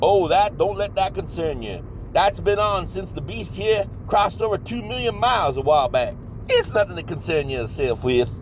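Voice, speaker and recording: A man's voice: high voice, expressive, moderate pace, slight background noise. A man acting a cocky, folksy backwoods monster hunter in a comedy horror audio drama, rambling in a drawl with mangled grammar. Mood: breezy, unconcerned.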